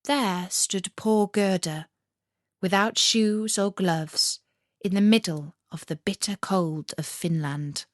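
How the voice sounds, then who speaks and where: A woman reading a fairy tale as a storyteller, with measured, expressive storybook narration. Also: mid-range voice, no room sound, studio quality.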